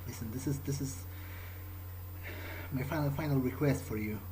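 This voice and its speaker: Man, gamer roleplay monologue, halting, repeated words, faint noise and ambience behind. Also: medium pitch, warm tone, rough recording. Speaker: gamer roleplaying his character. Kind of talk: in-character game playthrough, improvised monologue.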